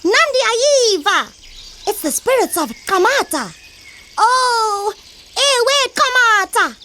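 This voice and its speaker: A woman with a South African accent playing an excitable, cheeky female bunny in children's comedy audio theatre. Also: very high voice, slow, a little hiss or hum, full character voice. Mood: awed, flustered. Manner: exclaiming, theatrical, playful.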